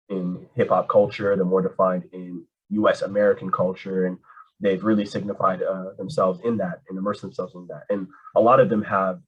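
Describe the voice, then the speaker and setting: A man telling his story as an interview guest, casual and animated, full of slang. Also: sits low, breathy edge, highs cut off. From Canada. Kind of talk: candid conversation.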